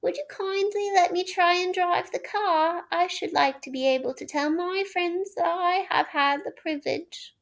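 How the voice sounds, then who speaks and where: A woman's voice: extremely high pitch, normal speed, gravelly, few pauses, pitched above the performer's own voice. A woman doing conceited boastful Toad. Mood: wheedling. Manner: feeble and wheedling, turning boastful. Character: conceited boastful male toad disguised as a washerwoman.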